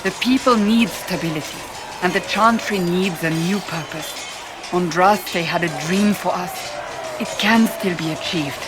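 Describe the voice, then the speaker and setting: A woman's voice: fairly low pitch, unhurried, music in the background, natural voice, low-quality recording. An actress playing a stern, devout rebel warrior woman in a video game, speaking formally and earnestly. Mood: earnest conviction. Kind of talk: dramatic video game dialogue.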